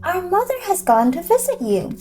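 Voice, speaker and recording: A woman's voice: very high voice, very animated, normal speed, a little mellow, voice pushed up. A woman voicing a clever, wary young girl, the eldest sister, questioning emphatically in a storybook reading. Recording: music behind the voice.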